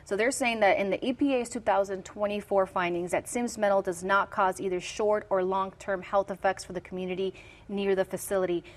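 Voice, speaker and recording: A woman hosting a TV news interview, briskly introducing guests and asking questions. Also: medium pitch, fairly even intonation. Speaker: TV news host.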